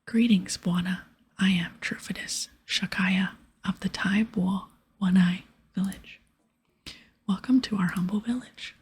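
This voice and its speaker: A woman voicing a solemn, courteous village shaman from a fantasy game, reading the dialogue in a soft, breathy ASMR whisper. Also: medium pitch, fairly even intonation, measured pace. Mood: solemn, welcoming.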